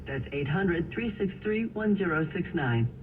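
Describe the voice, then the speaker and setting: A man reading a scripted radio commercial in a measured way, spelling out phone numbers slowly. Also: high voice, lively intonation. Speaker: radio ad announcer. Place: car radio playing in a moving vehicle. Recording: tinny car radio, distant, loud road noise. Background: loud vehicle road noise.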